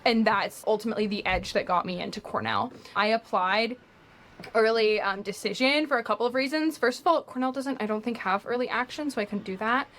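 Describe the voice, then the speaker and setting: A woman's voice: a little high, a little fast, a bit bright, slight background noise. A woman in her twenties from Kentucky, a university student explaining at length in a casual interview, with frequent "like" and "um". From United States, Kentucky. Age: 20s.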